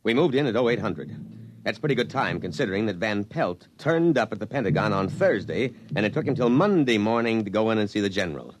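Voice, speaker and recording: Actor as an officious army officer, animated delivery. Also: male voice, lowish voice, a little fast, close to an everyday voice. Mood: matter-of-fact narrating. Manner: formal, clipped military, earnest. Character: officious, earnest, humorless army lieutenant colonel. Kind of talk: 1950s radio drama narration and dialogue. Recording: noisy 1950s radio broadcast.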